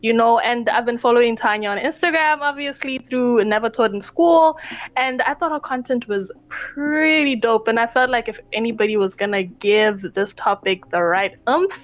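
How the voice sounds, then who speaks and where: A bubbly woman hosts a casual podcast with a slightly higher voice, full of slang and eager energy. The sound is dull and lo-fi. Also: moderate pace. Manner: upbeat, slangy and excitable. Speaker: podcast host.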